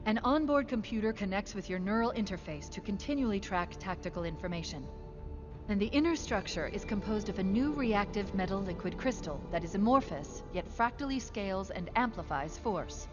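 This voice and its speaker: A woman playing a composed, clinical military scientist with a measured, precise, authoritative delivery in an animated sci-fi film. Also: mid-range voice, highs cut off, music in the background, close to an everyday voice. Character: composed, clinical female military scientist briefing her soldiers.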